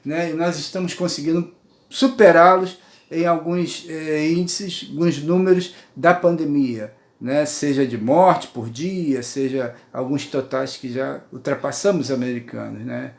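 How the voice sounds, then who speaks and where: A man's voice: on the high side, fairly even intonation, measured pace, vocal fry, roomy sound. A man in his sixties with a Brazilian Portuguese accent, giving a rambling, earnest personal monologue from his apartment and often checking in with his listeners. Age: 60s. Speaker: poet and writer sharing views.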